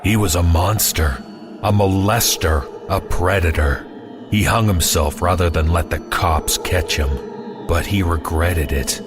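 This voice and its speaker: Haunted young male student, played by a man narrator: tearful, halting, slow horror-story delivery over clear music. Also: low voice. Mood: haunted, fearful. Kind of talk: horror story character dialogue.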